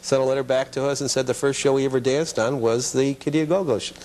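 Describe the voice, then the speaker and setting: A man's voice: medium pitch, fairly even intonation, fast talker, a little mellow. A mature American man reminiscing casually as an interview guest in a television studio. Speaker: guest, former children's TV producer and puppeteer.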